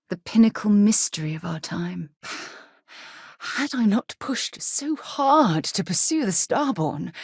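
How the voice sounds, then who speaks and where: An actress playing a principled, warm, battle-tested space explorer and former commander in a video game, earnest and articulate. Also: female voice, medium pitch, breathy edge, no echo, clean studio sound. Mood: regretful. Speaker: game companion character. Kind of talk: video game voice acting, dramatic dialogue.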